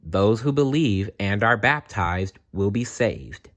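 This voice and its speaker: A gentle, resolute holy teacher from a storybook, voiced by a man. He speaks slowly and earnestly in a low-set voice. The delivery is solemn and measured. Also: animated. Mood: assuring. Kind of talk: storybook character voice.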